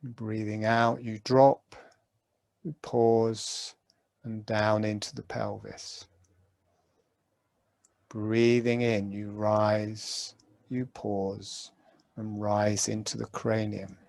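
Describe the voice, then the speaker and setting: A man guiding a breathing meditation, giving calm, gentle instructions with long pauses. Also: on the low side, gravelly, no echo. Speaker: meditation guide.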